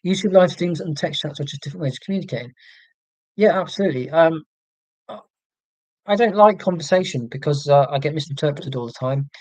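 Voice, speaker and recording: A man live streaming from home and reading chat comments aloud, talking in a rambling, halting way with many ums and restarts and a voice he calls monotonous. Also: fairly high pitch, no room sound, poor audio. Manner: self-described monotonous voice.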